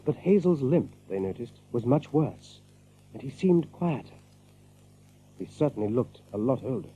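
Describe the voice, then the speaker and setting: A man narrating a scripted audio drama as a storyteller, with measured, dramatic delivery. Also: medium pitch, animated, mellow, slight background noise, lightly acted. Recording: muffled recording with music behind.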